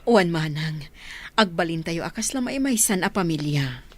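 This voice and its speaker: A woman's voice: lowish voice, animated, moderate pace. A middle-aged woman with an Ilocano accent acting an emotional village mother in a radio drama. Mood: content.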